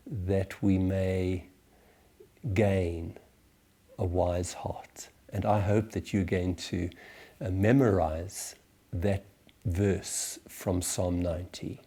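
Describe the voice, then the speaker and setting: A man in his sixties with a South African accent, giving a reflective church teaching to small groups in a measured, deliberate way, often pausing and hesitating. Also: deep voice, mellow. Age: 60s. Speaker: church teacher leading a series.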